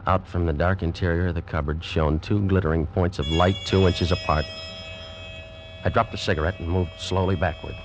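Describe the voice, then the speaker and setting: An actor playing a guilt-ridden young seaman who narrates his terror in a grave, deliberate old-time radio style. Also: low voice, fairly even intonation, noisy background, lightly acted. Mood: tense. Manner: slow, deliberate, intense dramatic delivery. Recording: vintage radio drama with music behind. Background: dramatic music.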